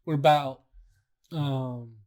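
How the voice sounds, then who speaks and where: A man's voice: mid-range voice, fairly even intonation, slow, darkish tone. A man co-hosting a show in casual chat, agreeable and often echoing what his partner says. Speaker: co-host of a video show.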